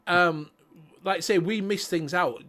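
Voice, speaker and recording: Brief: male host with a Leeds Yorkshire accent, slightly higher voice, loose and sweary, circles back on himself. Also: a little slow, fairly bright tone. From Leeds, England. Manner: rambling, sweary, repeats himself. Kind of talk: casual livestream chat.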